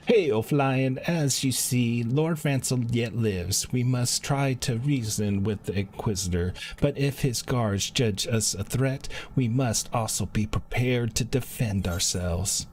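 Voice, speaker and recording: A man live-streaming a video game, reading quest dialogue aloud in a halting way and stumbling over words. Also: medium pitch, darkish tone, slight background noise.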